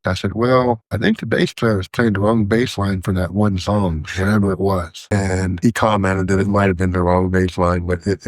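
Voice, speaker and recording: A man's voice: deep voice, no room sound. A middle-aged American man from West Virginia, talking conversationally as an interview guest and sound engineer, explaining things in a matter-of-fact way. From West Virginia, United States. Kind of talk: conversational technical explanation and anecdotes.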